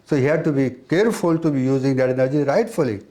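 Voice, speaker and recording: A man giving an explanatory spiritual talk as an interviewed teacher, with halting, repetitive phrasing. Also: medium pitch, expressive, dark tone.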